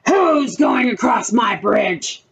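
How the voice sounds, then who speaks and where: A woman voicing a mean, greedy, hungry male troll in a children's story, with loud, drawn-out threats. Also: fairly high pitch, lively intonation, vocal fry, slightly rough, exaggerated voice. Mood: menacing, shouting.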